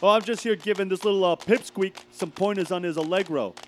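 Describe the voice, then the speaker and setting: A man's voice: high voice, a little fast, a little hiss or hum, lightly acted. A man playing a cocky teenage dance-team captain in a comedy sketch, brash and condescending. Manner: brash, condescending, bro-ish.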